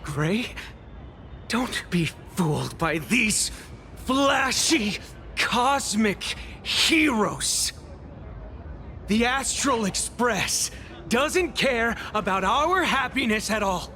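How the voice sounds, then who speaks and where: A man's voice: high-pitched, expressive, extremely slow, pushed and bright, slight background noise, full character voice. A man playing a bitter, vengeful young squad fighter in a game, declaiming theatrically over music. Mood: scornful. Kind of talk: dramatic game voice acting. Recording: background music under the voice.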